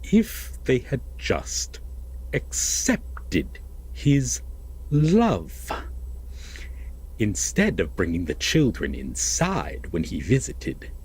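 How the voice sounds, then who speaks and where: A man playing an obsessive, lovesick captor prince in an audio roleplay, telling a dramatic bedtime fairytale in a halting, hushed, breathy voice. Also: medium pitch, huge pitch swings, exaggerated voice, rough recording. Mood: anguished justifying. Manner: halting, hushed, wistful, with heavy pauses.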